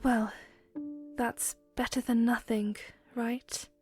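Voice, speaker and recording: A woman voicing a curious, gentle young princess in a fan audio drama, speaking softly and hesitantly. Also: fairly high pitch, flat delivery, slow, music in the background. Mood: wistful.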